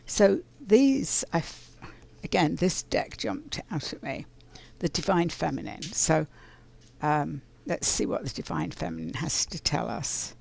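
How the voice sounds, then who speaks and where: A woman with a scratchy voice from pollen, doing a casual oracle card reading in an unhurried, reflective way. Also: sits low, sing-song, vocal fry, a little mellow. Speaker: spiritual card reader.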